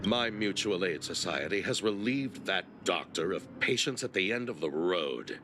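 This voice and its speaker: A man's voice: low-pitched, animated, moderate pace, a bit bright, slight background noise. An actor playing a smug, scheming male president of a shady aid society in a game cutscene, mocking and sly. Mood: sneering. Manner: mocking, sly, swings between sneering and bluster. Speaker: villain. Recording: game score music behind.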